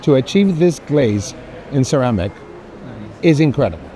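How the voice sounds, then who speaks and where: A man's voice: medium pitch, lively intonation, moderate pace, dark tone. A man speaking casually as an art collector and guest at a fair, effusive and emphatic. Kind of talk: casual chat. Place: art fair, walking tour. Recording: faint noisy fair hall ambience.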